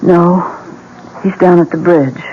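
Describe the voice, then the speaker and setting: An actress playing a flustered, polite wife in an American radio drama, speaking hesitantly. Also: female voice, on the low side, steady tone, slow pace. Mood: uneasy. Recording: dull radio recording, clear background noise.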